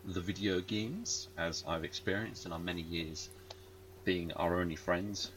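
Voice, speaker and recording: A podcast host with a very low voice that still cuts through sharp and bright. He rambles along with plenty of ums and self-deprecating asides. A faint hiss sits under the call audio. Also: fairly even intonation, measured pace, rough recording. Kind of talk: casual podcast chat over a call.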